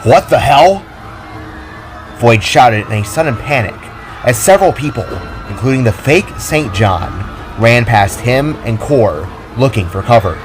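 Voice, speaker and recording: A man narrating an action story as a dramatic reading, with expressive delivery. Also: medium pitch, moderate pace. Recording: music under the reading.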